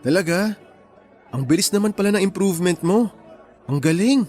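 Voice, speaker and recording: Actor as gentle, principled young man, radio drama. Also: sits high, animated, moderate pace, faint music behind. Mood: pleasantly surprised. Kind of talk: Tagalog radio romance drama acting.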